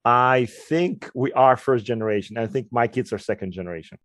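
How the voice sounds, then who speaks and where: A man in his forties from Ukraine, with a Ukrainian accent, answering interview questions in a measured, explanatory way. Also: mid-range voice, fairly bright tone, dry recording, clean studio sound. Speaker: guest, quantitative researcher.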